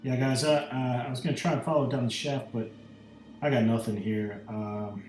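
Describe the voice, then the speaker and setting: A man's voice: mid-range voice, steady tone, moderate pace, a little mellow. A man playing a tabletop roleplaying game, casually speaking as his character and thinking aloud hesitantly. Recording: roomy echo, music underneath.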